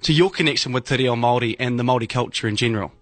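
A chatty man with a New Zealand accent hosts a breakfast radio show, talking quickly in a mid-range voice. The studio sound is close and dry with no echo. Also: medium pitch, fairly bright tone. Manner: friendly, upbeat, chatty. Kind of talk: casual radio interview.

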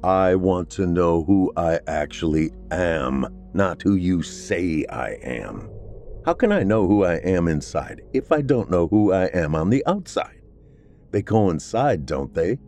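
A man's voice: low voice, big pitch swings, unhurried, a little mellow, soft background music, exaggerated voice. A man voicing a brooding, self-pitying vampire man three centuries old, melodramatic and anguished.

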